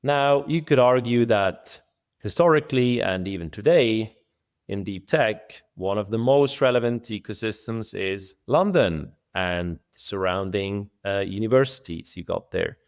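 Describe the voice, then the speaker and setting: Middle-aged Swiss founder, low and resonant, measured interview guest. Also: male voice, clean tone. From Switzerland. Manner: thoughtful, measured, with fillers and restarts. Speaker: venture studio co-founder, guest. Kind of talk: conversational podcast interview.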